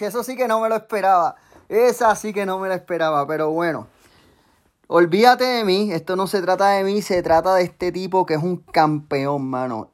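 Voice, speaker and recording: A man hosting a casual interview podcast, excitable and hyping up his guest. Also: high voice. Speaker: podcast host and coach.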